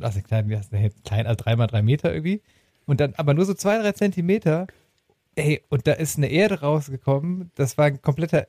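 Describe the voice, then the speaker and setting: A man's voice: a little high, dark tone, no echo, studio quality. A man co-hosting a podcast in casual chat, telling things with emphatic colloquial exclamations. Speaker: podcast co-host.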